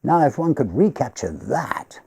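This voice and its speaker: A man's voice: mid-range voice, big pitch swings, measured pace, gravelly, dark tone, breathy edge, voice pushed up, exaggerated voice. A man voicing a genteel party host in a storybook, confiding and faintly haunted, with emphatic, refined conversational speech. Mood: animated, musing. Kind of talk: storybook character dialogue.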